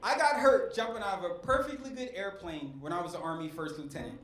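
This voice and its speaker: High-voiced man doing stand-up in an echoey club. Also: quick pace, fairly bright tone. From West Philadelphia. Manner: preacher-like cadence with crowd work. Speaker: stand-up comedian. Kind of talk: stand-up set. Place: comedy club stage. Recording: echoey club with faint crowd noise.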